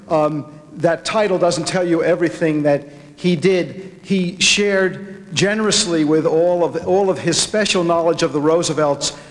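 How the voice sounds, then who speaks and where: A man giving an acceptance speech, somewhat halting, with repeated words. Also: a little high. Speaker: documentary producer accepting an award. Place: large hall at an awards ceremony. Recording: echoey large hall with long reverb.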